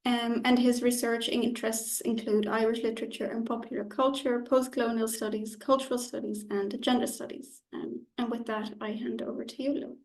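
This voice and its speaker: A woman's voice: a little high, level tone, moderate pace, hardly any pauses, a little mellow. A woman hosting an academic roundtable, formally reading out a speaker introduction. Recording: roomy, distant microphone.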